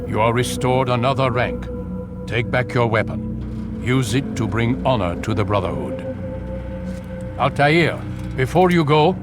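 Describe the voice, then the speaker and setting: A man playing a stern, cryptic older master of an assassin order in a video game, measured and authoritative. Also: medium pitch, lively intonation, loud music behind the voice, close to an everyday voice. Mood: measured, instructive. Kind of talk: dramatic video game acting.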